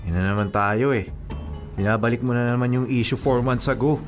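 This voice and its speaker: A man's voice: mid-range voice, brisk, lightly acted. A man playing a teasing, clingy young boyfriend in a radio drama, whining playfully and exaggerating his mock politeness. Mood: exasperated. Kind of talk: melodramatic radio drama dialogue in casual Taglish. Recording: music behind the voice.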